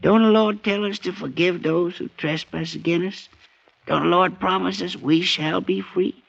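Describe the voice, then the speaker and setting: An actor playing a kindly, humble freed slave with a folksy American Southern accent in a 1940s radio drama. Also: male voice, high voice, fairly even intonation, gravelly, a bit bright, exaggerated voice. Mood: warm, wistful. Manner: folksy, drawn-out, exclaiming. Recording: dull 1940s radio recording.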